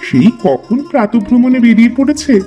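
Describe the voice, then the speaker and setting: Man voicing a high, mellow protective village mother. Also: sing-song, fairly quick, dull audio, faint music behind. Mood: defensive. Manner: scolding. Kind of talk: Bengali cartoon dialogue.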